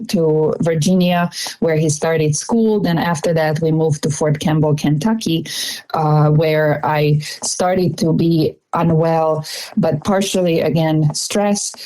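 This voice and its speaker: Middle-aged hungarian woman, guest on a podcast, earnest, lots of uh's, kinda low mellow voice. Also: poor audio. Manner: earnest, frequent "uh" fillers and restarts. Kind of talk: podcast interview, personal story.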